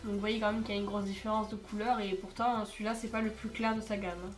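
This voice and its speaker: A woman's voice: medium pitch, level tone, fast, reverberant, soft background music. A teenage girl with a cold and a stuffy nose, chatting casually through a makeup tutorial with lots of fillers. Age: teens. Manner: chatty, rambling with many fillers. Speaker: beauty vlogger. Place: home.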